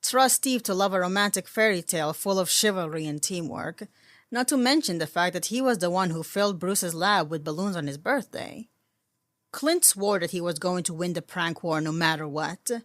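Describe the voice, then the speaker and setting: Female narrator for amateur audio fiction, lower register, scripted read, dry close-miked sound. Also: animated, moderate pace, a bit bright, dry recording.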